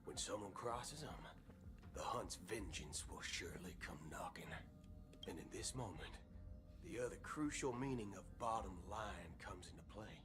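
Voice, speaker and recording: Low-voiced, drawling cowboy space ranger. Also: male voice, animated, unhurried. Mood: firm, folksy. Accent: American Western drawl. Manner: folksy, theatrical, drawling. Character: swaggering male cowboy galaxy ranger gunslinger, folksy and amiable. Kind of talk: video game voice acting. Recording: game music behind the voice.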